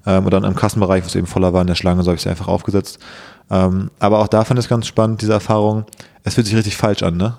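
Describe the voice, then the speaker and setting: Fast-talking hoarse young man. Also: low-pitched, level tone, a little mellow. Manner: rambling, many fillers and restarts. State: slightly hoarse. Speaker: podcast co-host. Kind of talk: casual podcast chat.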